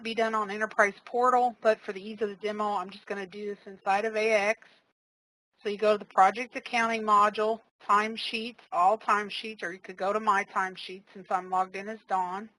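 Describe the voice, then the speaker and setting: A woman in a mid register talks through a live software demo at an easy pace. She keeps it casual and explains each step, with the odd filler as she clicks along. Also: mid-range voice, rough recording. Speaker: software trainer.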